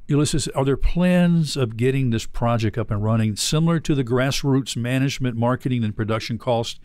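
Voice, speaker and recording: A man's voice: medium pitch, a little fast, a little mellow, no echo. Earnest male interview host. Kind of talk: conversational interview. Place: small indoor studio. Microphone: close studio microphone.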